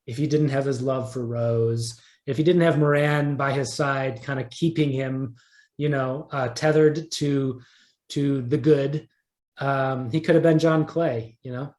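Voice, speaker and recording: A man being interviewed as a writer, talking casually and haltingly, often repeating words as he thinks aloud. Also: mid-range voice, steady tone, a little mellow. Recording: roomy echo.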